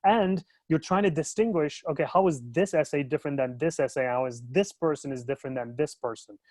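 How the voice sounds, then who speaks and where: A man's voice: fairly high pitch, brisk, darkish tone, no echo, clean studio sound. A man from Turkey, an educational consultant in his thirties, talking casually in a webinar discussion, with many fillers and restarts.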